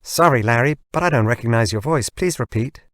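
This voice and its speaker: A man's voice: mid-range voice, fairly quick, no echo, clean studio sound, natural voice. A man voicing a chirpy, obliging young woman, a mellifluous hologram assistant in an audiobook.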